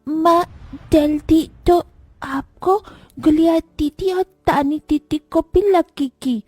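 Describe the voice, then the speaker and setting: A woman's voice: high voice, takes it slowly, darkish tone, dry recording. A woman voicing a poor, earnest village child who whines and pleads, in a cartoon moral story.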